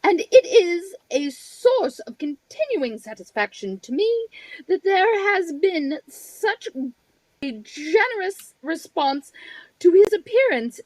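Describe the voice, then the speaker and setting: A woman's voice: very high-pitched, big pitch swings, slow, dry recording, full character voice, rough recording. A woman playing a gracious lady author giving a stately, formal address on an old-time radio show.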